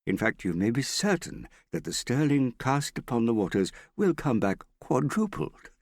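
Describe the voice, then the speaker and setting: A man's voice: deep voice, sing-song, moderate pace, vocal fry, husky, no echo, studio quality, pitched above the performer's own voice, full character voice. A man voicing a vain, theatrical middle-aged father in an audiobook, wheedling and self-pitying with a pompous, affected way of talking. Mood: smug, reassuring. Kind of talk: audiobook character dialogue.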